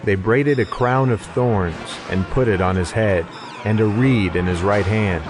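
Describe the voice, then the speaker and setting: Low-voiced man narrating scripture over music. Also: a little slow. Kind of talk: scripted audio Bible narration. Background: music behind the voice.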